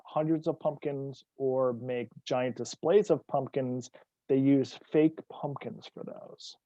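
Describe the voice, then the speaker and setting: A man's voice: mid-range voice, no room sound, muffled recording. A man from New England, a guest author, telling wry stories in casual conversation. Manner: relaxed, wry storyteller.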